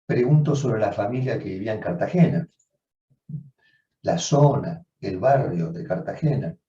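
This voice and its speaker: A man, a psychoanalyst, giving a measured, reflective seminar lecture, often rephrasing as he goes. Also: lowish voice, lively intonation, mellow. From Argentina. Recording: dull, roomy sound from far off.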